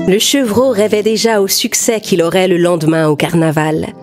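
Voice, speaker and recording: A woman reading scripted narration for an animated children's story. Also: fairly low pitch, normal speed. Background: music.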